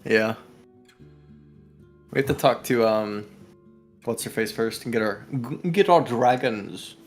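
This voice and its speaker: Man co-reading a story game, medium pitch. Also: takes it slowly, soft background music. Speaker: streamer co-reading a visual novel. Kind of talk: live reading of game narration with casual asides.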